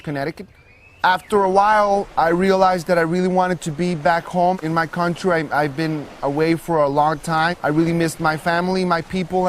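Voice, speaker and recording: A young man from Guatemala City, a solar startup co-founder, giving an earnest, measured documentary interview. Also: fairly high pitch, a little hiss or hum. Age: young adult.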